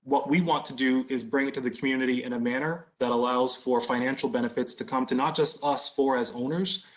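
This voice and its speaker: American man in his thirties, medium pitch. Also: fairly even intonation, brisk. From Boston, United States. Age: 30s. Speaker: license applicant, business owner. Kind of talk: answering questions at a community meeting.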